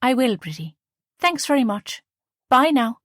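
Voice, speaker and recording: A woman's voice: high voice, sing-song, a little slow, no echo, studio quality, pitched above the performer's own voice. A woman voicing a polite, nervous young married woman who speaks hesitantly.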